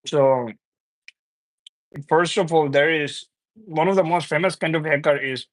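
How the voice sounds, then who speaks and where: Young male streamer, slightly high voice, from Bangladesh; loose, chuckling banter with chat, dry close sound. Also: a little slow, no echo, rough recording. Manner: chuckles often, reads viewer comments aloud; loose, repetitive, teasing banter. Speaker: livestream host. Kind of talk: casual live chat with viewers.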